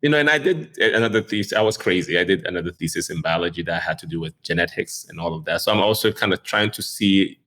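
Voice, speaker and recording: Man from Ghana, middle-aged, very low voice, laid-back interview talk, lots of "you know", soft chuckles. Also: fast, few pauses. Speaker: neurosurgeon guest. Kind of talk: relaxed conversational interview.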